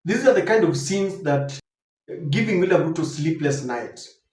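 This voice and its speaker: A man with a Kenyan accent giving unscripted political commentary to viewers, emphatic and deliberate, repeating his points. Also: a little high, animated, very noisy. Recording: roomy, echoing voice recording.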